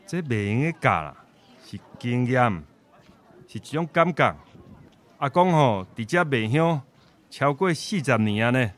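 A man's voice: mid-range voice, sing-song, a bit bright, slight background noise. A man playing a kindly old Taiwanese grandfather who keeps a shop, in a radio drama, with a Taiwanese accent. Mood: genial, musing. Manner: code-switches into Taiwanese Hokkien, fond and unhurried.

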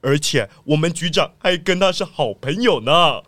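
A man's voice: fairly high pitch, lively intonation, normal speed, fairly bright tone, no room sound, studio quality. A man playing an eager, chatty police squad leader in an audio drama, exclamatory and keen to please.

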